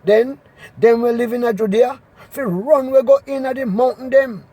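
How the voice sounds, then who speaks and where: A man with a Jamaican accent doing an expressive, emphatic scripture reading in Patois. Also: extremely high pitch, moderate pace, no echo. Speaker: Bible reader.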